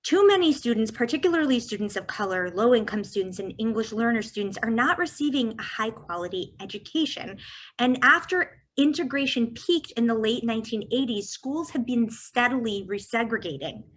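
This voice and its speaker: A woman from California giving a prepared talk as guest speaker, walking through figures on slides in a measured way. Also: a little high, animated.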